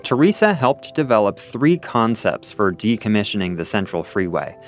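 Lively low-voiced male reporter. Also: moderate pace, soft background music. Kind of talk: scripted radio narration. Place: outdoors in a city park.

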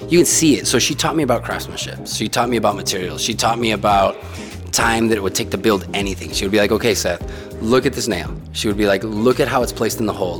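A young man from the Tijuana area, a native Spanish speaker, telling his story in a casual, laid-back way, calling people dude and bro. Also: lowish voice. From Mexico, Tijuana area. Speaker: interviewee. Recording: music playing underneath.

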